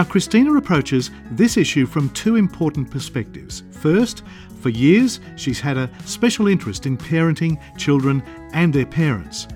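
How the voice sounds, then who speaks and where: Lively playful man podcast host. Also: fairly high pitch. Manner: playful, teasing questions. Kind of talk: podcast interview.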